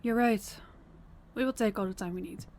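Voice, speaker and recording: A woman playing a kind, practical vampire guide in an audio roleplay, speaking in a warm, reassuring manner. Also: medium pitch, normal speed, slight background noise, natural voice. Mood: upbeat, practical.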